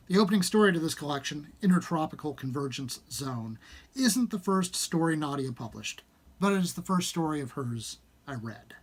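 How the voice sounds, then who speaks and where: Expressive man, slightly high-pitched voice. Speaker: small-press horror and fantasy publisher presenting a book. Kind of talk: casual book pitch, then reading an introduction aloud.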